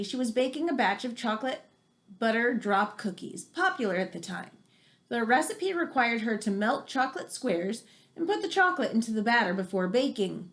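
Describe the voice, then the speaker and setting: A woman reading a nonfiction book aloud for children, chatty and exclamatory, with casual asides. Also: on the high side.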